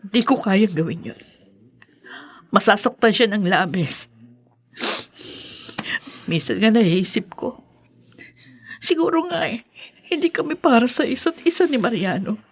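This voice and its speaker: An actress playing a hurt, gentle woman about to marry a widower, in a radio drama. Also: on the high side, lively intonation, unhurried, long pauses, husky, heavily acted. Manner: soft, pained, hesitant. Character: hurt woman Alicia, gentle and anxious bride-to-be.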